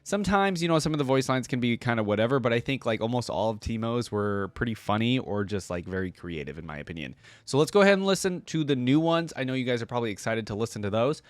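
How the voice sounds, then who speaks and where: A man's voice: mid-range voice, animated, fast, few pauses, no room sound. A man hosting a reaction video in a casual, easygoing, chatty way, sometimes reading aloud.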